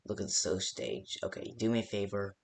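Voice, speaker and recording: Man acting as game phone guide, male, lowish pitch, creaky fry, hesitant, rambling instructions. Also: steady tone, fairly quick. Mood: casual, hesitant. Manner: hesitant, rambling, full of stops and second thoughts. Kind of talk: improvised-sounding instructional phone call for a game.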